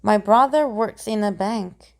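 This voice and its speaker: A woman reading simple grammar example sentences aloud in a slow, deliberate way, pausing between each one. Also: mid-range voice, gravelly.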